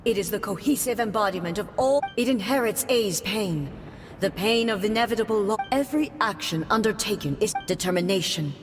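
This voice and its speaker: A woman voicing a stern, regal female ruler deity in a video game, formal, measured and commanding. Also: medium pitch, natural voice. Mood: solemn. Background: game music.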